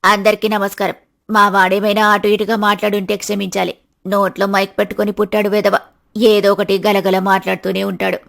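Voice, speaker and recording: A woman's voice: mid-range voice, flat delivery, brisk, vocal fry, fairly bright tone, pitched below the performer's own voice. A woman voicing an older village mother in a family story, homely, earnest and plain-spoken, with affection in her way of talking. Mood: fond, apologetic.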